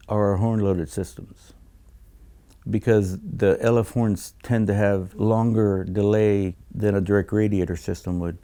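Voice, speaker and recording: A man speaking as a design engineer in an explanatory interview, measured and technical, often pausing mid-phrase. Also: fairly low pitch, dark tone.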